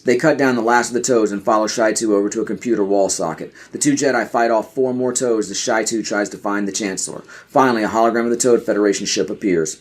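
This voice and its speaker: A man's voice: fairly low pitch, fairly even intonation, fast talker, hardly any pauses. A man doing scripted narration for a podcast audio play, reading out stage directions.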